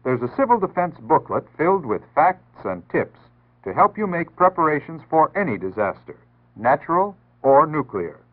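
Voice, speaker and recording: An American man reading scripted 1960s public service narration in a measured, earnest, instructive manner. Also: a little high, big pitch swings. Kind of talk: 1960s civil defense PSA scripted narration. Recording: tinny band-limited 1960s broadcast audio.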